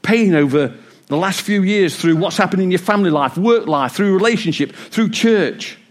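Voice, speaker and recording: A man preaching a sermon in church, a bit croaky, with a joking, warm delivery. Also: high voice, moderate pace. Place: church hall with congregation. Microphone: wireless mic.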